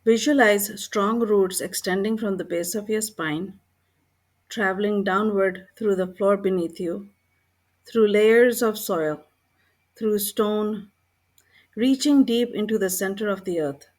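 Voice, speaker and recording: A woman leads a guided meditation with a calm, gentle delivery. She reads in short, measured phrases and leaves unhurried pauses between them. Her voice sits at an average pitch for a woman. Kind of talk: scripted guided meditation narration.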